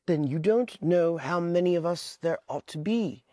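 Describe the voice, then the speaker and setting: A woman voicing a boy leader with curt, sharp delivery, close to her own voice. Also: fairly low pitch, animated, measured pace, dry recording. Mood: firm. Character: young boy leader, firm.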